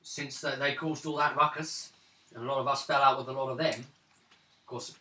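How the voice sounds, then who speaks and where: A man's voice: mid-range voice, steady tone, normal speed, bright, forceful tone, natural voice. A man voicing a friendly, easygoing village innkeeper in a tabletop game, explaining things, on a distant roomy recording. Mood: friendly, matter-of-fact.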